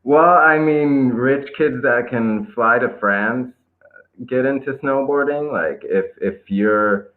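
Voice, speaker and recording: A young man from Israel with an American-tinged Israeli accent, chatting casually as an interview guest, loose and hesitant with lots of fillers. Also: fairly low pitch. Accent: American-influenced English, Israeli. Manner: laid-back, hesitant with many fillers and restarts. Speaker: guest skateboarder. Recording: tinny phone-line call audio.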